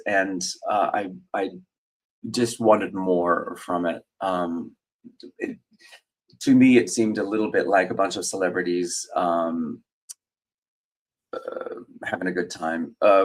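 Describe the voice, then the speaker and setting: A middle-aged man chats loosely on a livestream, his voice sitting a little low. He rambles through viewer comments with lots of ums, uhs and restarts. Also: low-quality recording. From Australia. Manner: rambling, hesitant, many fillers and restarts. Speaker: host. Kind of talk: casual livestream chat, reading viewer comments.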